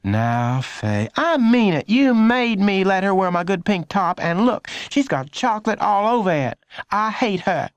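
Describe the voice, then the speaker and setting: A man's voice: high-pitched, very animated, a little slow, clean tone, no echo, voice pushed up. A man voicing a bossy, indignant older sister, a girl in a children's audiobook. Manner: indignant, snippy.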